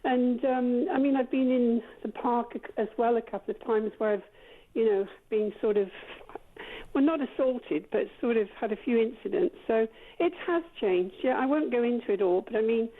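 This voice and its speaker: A woman on a tinny phone line with a fairly high voice. She rambles and hesitates, trips over her words and circles back over what she has already said. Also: moderate pace. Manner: rambling, hesitant, repeats herself. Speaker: caller. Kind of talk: phone-in call.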